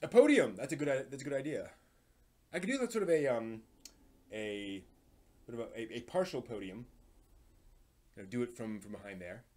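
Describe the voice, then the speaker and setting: A man hosting a live stream in a casual, chatty way, often halting and repeating words as he thinks aloud. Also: mid-range voice, expressive, darkish tone.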